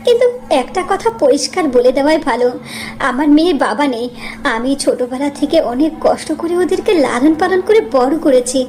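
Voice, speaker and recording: Woman acting a humble, plaintive high-voiced poor mother. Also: animated, a little fast, darkish tone. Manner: deferential, plaintive. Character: humble, long-suffering poor mother of five daughters. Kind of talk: animated story voice acting.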